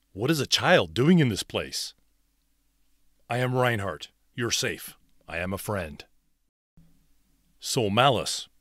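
A man voicing a valiant, devout vampire hunter from a video game, speaking in an earnest, measured, theatrical way. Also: lowish voice, a bit bright, no echo, studio quality, full character voice. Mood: urgent, then gentle concern. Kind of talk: dramatic video game character acting.

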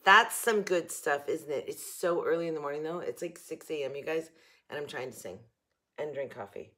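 Woman in her fifties, a bit hoarse, lowish voice, rambling, self-mocking, home kitchen, camera at arm's length. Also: animated, moderate pace, sharp tone. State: a little hoarse. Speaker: haul vlogger. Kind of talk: casual chat to camera. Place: home kitchen, indoors, early morning.

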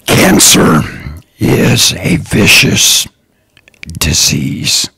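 A man hosting his own podcast, talking slowly and earnestly in a raspy, partly whispered voice. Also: extremely low pitch, big pitch swings, sharp tone, no echo. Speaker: podcast host, lawn care business owner. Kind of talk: slow, earnest solo podcast talk.

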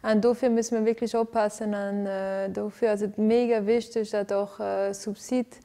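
A woman's voice: mid-range voice, flat delivery, moderate pace, warm tone. A woman, answering interview questions in a living room with many fillers and restarts. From Venezuela. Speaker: interviewee, arts director. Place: living room with lights set up for filming.

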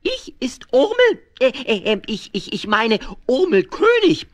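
A man's voice: extremely high pitch, wildly swooping pitch, unhurried, exaggerated voice. A man voicing a bratty, boastful little dinosaur child who plays king, giggly and drawling, in a 1970s children's radio play. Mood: cheeky, gleeful. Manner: gleeful, boastful, giggly, stretching his words.

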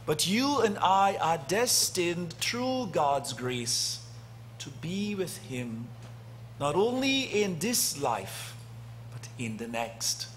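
Male priest, middle-aged, slightly high voice, slow deliberate sermon delivery with long dramatic pauses, faint background hiss. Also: sing-song. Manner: slow and deliberate, long pauses, swelling loud then dropping soft. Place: church sanctuary, indoors. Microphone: lectern gooseneck mic.

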